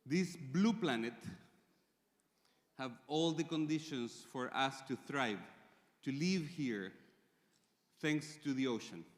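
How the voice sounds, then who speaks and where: A Chilean man presents to a conference audience in English, which is not his first language. His pitch sits in an ordinary middle range, and he talks in measured phrases with frequent pauses. His voice carries a long reverb from the big hall. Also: lively intonation. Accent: Chilean Spanish. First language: Spanish. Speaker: conference speaker.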